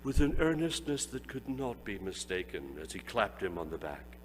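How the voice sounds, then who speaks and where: A man's voice: lowish voice, very animated, moderate pace, big-room sound. A man giving a dramatic storybook reading, relishing each phrase. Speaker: reader.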